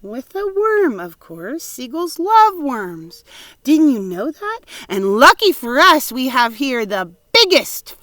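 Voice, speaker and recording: A woman voicing a confident young boy in a storybook reading, explaining his plan with excited insistence. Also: sits high, takes it slowly, no echo, studio quality, pitched above the performer's own voice, full character voice. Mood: eager.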